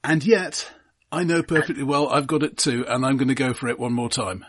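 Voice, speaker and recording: A man co-hosting a film podcast, discussing films casually in measured, deliberate phrases. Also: mid-range voice, steady tone, fairly bright tone, slightly rough, no echo, studio quality.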